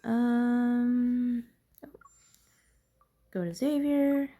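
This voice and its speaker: A woman's voice: on the high side, steady tone, very slow, dark tone. A woman giving casual gameplay commentary, thinking aloud to herself in a curious, musing way. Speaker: gamer narrating own playthrough.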